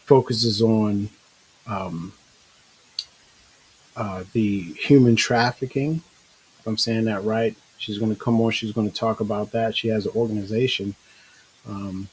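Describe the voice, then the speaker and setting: A middle-aged man hosting a casual online talk show, relaxed and rambling with many restarts. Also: fairly low pitch, unhurried, pauses often, a little hiss or hum, low-quality recording. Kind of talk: casual live-stream talk.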